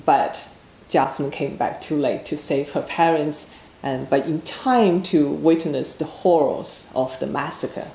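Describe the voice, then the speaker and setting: A woman's voice: deep voice, a little slow, creaky, warm tone, echoey room, rough recording. A woman from China with a Chinese accent, an author answering interview questions in a plain, matter-of-fact way.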